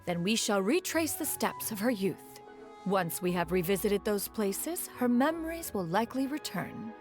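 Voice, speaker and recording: An actress plays a stately immortal woman in a video game. She is formal and self-assured, with a mid-pitched, expressive voice over faint music. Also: normal speed, close to an everyday voice. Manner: formal, lofty, precise. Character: dignified, self-assured, archaic-spoken adeptus woman.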